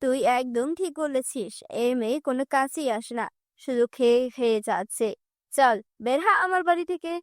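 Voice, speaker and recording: A woman's voice: high voice, moderate pace, no echo, clean studio sound, pitched below the performer's own voice, close to an everyday voice. A woman voicing a cruel middle-aged stepmother in a cartoon, scolding and threatening. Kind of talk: cartoon dubbing.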